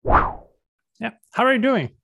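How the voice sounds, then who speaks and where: A man's voice: extremely high pitch, animated, takes it slowly, gravelly, bright, forceful tone, husky. A man conducting a casual interview, asking questions hesitantly and restarting them as he goes.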